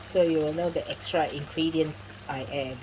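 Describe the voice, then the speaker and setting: Low-voiced woman cooking in her kitchen. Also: fairly even intonation, normal speed, noisy background. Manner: chatty and instructive, with frequent asides. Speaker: cooking channel host. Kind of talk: home cooking demonstration. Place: home kitchen.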